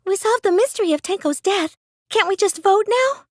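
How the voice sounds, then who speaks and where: A very high, girlish voice for a plain and nerdy teenage girl in an anime mystery game. She sounds meek and mild, with a hesitant, questioning delivery. The sound is close and dry, with no room around it. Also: lively intonation, moderate pace, no room sound. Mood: startled. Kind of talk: anime video game English dub acting.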